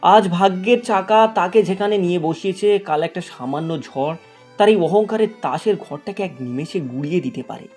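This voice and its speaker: A man's voice: sits high, brisk, darkish tone, soft background music. A man narrating a cartoon moral story in a dramatic, scripted storytelling style.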